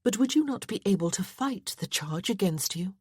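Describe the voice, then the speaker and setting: A woman voicing an earnest, gentle young lady in a storybook reading, gently questioning. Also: mid-range voice, expressive, moderate pace, slightly rough, dry recording, clean studio sound, voice pushed up.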